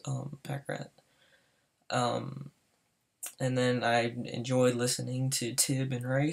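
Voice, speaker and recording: A man's voice: mid-range voice, vocal fry. A fifteen-year-old boy with a Southern US accent, talking nervously over his gameplay with many hesitations. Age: teen. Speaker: gamer applying to a server. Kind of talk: casual voice-over gameplay commentary.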